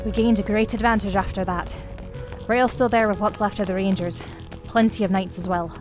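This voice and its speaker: A woman playing a steady, decisive fighter in a dramatised fantasy audio story. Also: mid-range voice, fairly quick, over music, lightly acted. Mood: brisk, determined.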